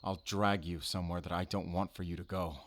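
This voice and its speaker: Audio roleplay lead: man as brooding male werewolf hunter, low voice, halting and solemn, guarded yet intimate. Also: level tone, a bit bright. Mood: torn, pained. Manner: halting, measured, solemn, intimate address to listener. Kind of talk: cinematic audio roleplay acting.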